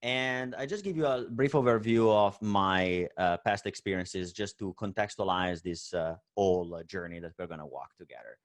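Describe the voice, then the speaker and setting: A man's voice: low voice, normal speed, hardly any pauses. A man from Italy with an Italian accent giving a remote conference talk, full of "uh" hesitations and tagging his points with "right?". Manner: many fillers and hesitations, frequent "right?" tag. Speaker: conference speaker.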